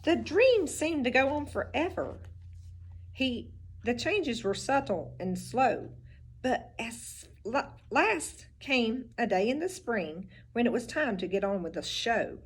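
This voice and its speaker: A teacher reading a picture book aloud, with expressive storybook delivery and little asides about the pictures. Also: female voice, on the high side, takes it slowly, slightly rough.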